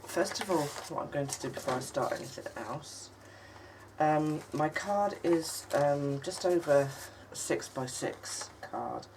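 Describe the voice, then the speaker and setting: Lady with a croaky cold voice, chatty craft tutorial, lots of ums, mic a bit far off with light hiss. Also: lively intonation. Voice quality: husky, low for her. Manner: chatty, halting, many ums, coughs now and then. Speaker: craft channel host. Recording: distant mic with faint noise.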